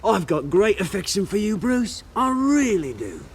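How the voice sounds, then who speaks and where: A cocky British rogue from a cinematic game swaggers in a fairly high, wildly swooping voice. He is theatrical and mercurial, chummy and menacing at once. A faint ambient noise lies behind him. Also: sing-song, normal speed.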